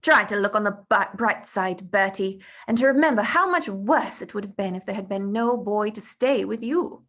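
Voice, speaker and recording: A woman's voice: mid-range voice, normal speed, few pauses, fairly bright tone, natural voice. A woman voicing a kind schoolmistress in a storybook, encouraging and emphatic.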